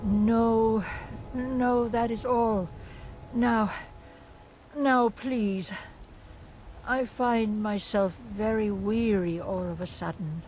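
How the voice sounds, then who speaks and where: An actress playing a weary old fortune-teller in a fantasy game, with halting, portentous phrasing over music. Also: female voice, a little high, fairly even intonation, a little mellow, a little hiss or hum, rough recording. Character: weary old fortune-telling seer woman, folksy, eerie in trance. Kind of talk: fantasy video game acting.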